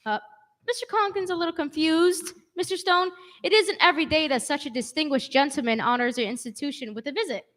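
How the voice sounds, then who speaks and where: Composed, wry schoolteacher played by a woman, high voice, polite and articulate, radio comedy. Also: a little fast, fairly bright tone. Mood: polite, placating. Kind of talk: old-time radio comedy acting.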